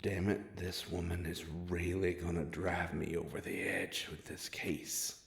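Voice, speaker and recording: A man playing a sardonic, grumbling werewolf private investigator with a soft heart, in an audio roleplay drama. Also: fairly even intonation, a little slow, full character voice. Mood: muttering, irritated. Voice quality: low gravelly voice.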